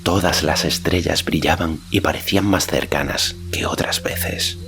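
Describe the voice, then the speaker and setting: A man narrating a fantasy audiobook in a measured storytelling manner. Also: deep voice, fairly even intonation, fairly bright tone, whispered. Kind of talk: scripted narration of a fantasy novel.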